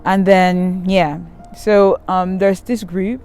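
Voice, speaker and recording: Low-voiced woman vlogging outdoors. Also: steady tone, slow, darkish tone, faint music behind. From Cameroon. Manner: candid, rambling, many ums and restarts. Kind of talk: casual storytime monologue. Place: outdoors in nature by a river. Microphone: handheld camera at arm's length.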